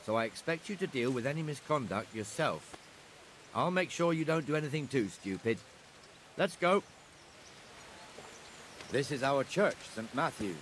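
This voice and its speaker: A man's voice: medium pitch, animated, normal speed, long pauses, fairly bright tone, slight background noise, natural voice. An actor playing a blunt, teasing, seasoned town watchman in a medieval video game. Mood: instructive.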